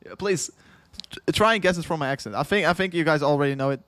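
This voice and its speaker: A man's voice: a little high, fast talker, a bit bright, no echo. A young Dutch man with a Dutch accent, hosting a long crypto livestream in casual chat, rambling and repeating himself, tired after hours on air. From Netherlands. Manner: rambling, repeats himself, chuckles often, reads chat aloud.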